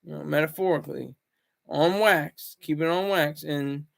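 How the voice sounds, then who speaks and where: A man hosting a casual solo commentary vlog, rambling and restarting his words, swinging between loud bursts and low asides. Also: normal speed, vocal fry. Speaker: commentary channel host.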